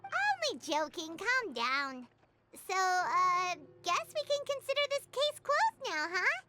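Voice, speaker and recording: Squeaky, swooping little fairy companion. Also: female voice, very animated, slow pace, heavy background noise, exaggerated voice. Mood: chirpy, teasing. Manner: refers to herself in third person. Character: small chirpy childlike fairy companion, excitable and blunt. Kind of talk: video game voice acting.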